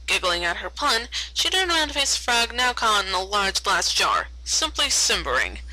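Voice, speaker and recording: Very bright, animated woman reading a story. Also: medium pitch, normal speed, no room sound, low-quality recording. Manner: stumbles and repeats words while reading. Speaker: fan fiction reader. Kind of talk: expressive read-aloud narration.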